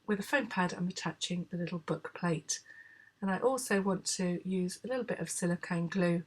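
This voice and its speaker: A woman, hoarse from a cold, calmly explaining a craft project step by step in a tutorial voice-over, with frequent filler sounds. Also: on the low side.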